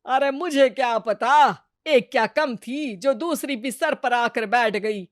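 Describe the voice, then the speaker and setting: A sharp-tongued older mother-in-law in a cartoon, full of sarcastic grumbles. Her voice sits fairly high and sounds dry and close. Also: slow, no echo. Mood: grumbling.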